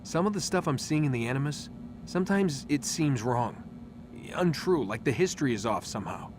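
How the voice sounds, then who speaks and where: A man's voice: medium pitch, lively intonation, normal speed, noisy background, close to an everyday voice. A man playing a reluctant young captive in a game cutscene, speaking casually with dry, wary skepticism. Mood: doubtful questioning. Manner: wary, casual, dryly questioning. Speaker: game voice actor. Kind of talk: naturalistic game cutscene dialogue.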